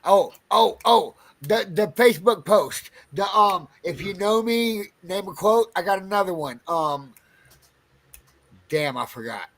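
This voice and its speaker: A man hosts a loose, profane podcast chat and laughs easily. His voice sits high and he takes his time with each phrase. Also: expressive, unhurried, fairly bright tone. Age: 40s. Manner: loose, swearing, laughs often. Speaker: podcast host.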